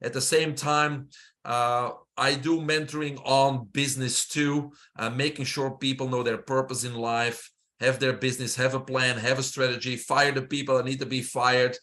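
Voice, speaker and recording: A middle-aged man with a Belgian accent speaks as an interview guest, at a middle pitch. He explains things in a steady, unhurried way and drops in frequent "uh" fillers. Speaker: guest, holistic health mentor. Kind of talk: interview answer, casual.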